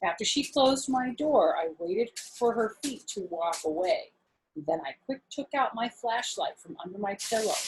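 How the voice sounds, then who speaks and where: A woman voicing an excitable, mischievous little girl who tells her story in dramatic childlike speech from a storybook. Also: a little high, a little hiss or hum, lightly acted, low-quality recording. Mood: secretive, eager. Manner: dramatic, childlike grammar, blunt and eager. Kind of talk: expressive children's storybook reading.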